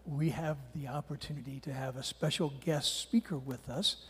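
A man's voice: mid-range voice, fairly even intonation, moderate pace, slightly rough. A man leading a church service, briefly and formally introducing the guest speaker. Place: church sanctuary. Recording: distant, reverberant church hall.

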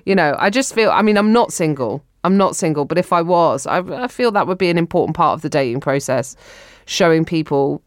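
Low-voiced, rambling bawdy comedian. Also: female voice, expressive, fairly quick, hardly any pauses, no echo, clean studio sound. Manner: rambling, stammering restarts, bawdy. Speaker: guest co-host. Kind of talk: improvised comedy banter. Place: studio in New York.

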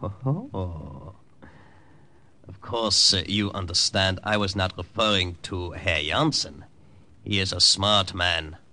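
Slow, sharp-voiced sentry. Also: male voice, low-pitched, full character voice. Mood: officious. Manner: curt, officious. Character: suspicious, condescending German sentry guarding a bridge. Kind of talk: 1950s radio drama acting. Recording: noisy old radio recording.

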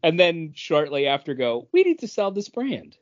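A man co-hosting a casual podcast, explaining industry news in a halting, stop-start way. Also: on the high side, wildly swooping pitch, no echo, dull audio. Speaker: podcast co-host.

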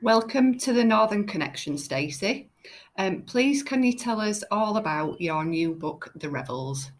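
A woman's voice: mid-range voice, animated, measured pace. A woman with a Yorkshire accent, co-hosting a book podcast and asking questions hesitantly with many "um"s. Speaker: podcast co-host. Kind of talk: casual interview.

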